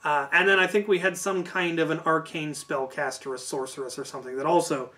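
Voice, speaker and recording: A man telling a casual, animated story about a tabletop game, as a host who acts out quoted lines. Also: a little high, brisk.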